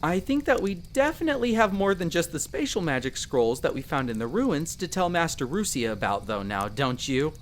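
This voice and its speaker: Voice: mid-range voice, big pitch swings, moderate pace, hardly any pauses, slight background noise, close to an everyday voice. A performer playing a friendly, protective young elf explorer in a roleplay audio drama, chatty and reassuring, speaking to the listener. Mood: warm, reassuring.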